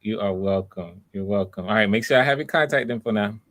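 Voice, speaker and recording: Low-voiced man hosting auditions. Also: a little fast, fairly bright tone. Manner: encouraging, repeats phrases. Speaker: casting director hosting live voice auditions. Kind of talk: casual live audition chat.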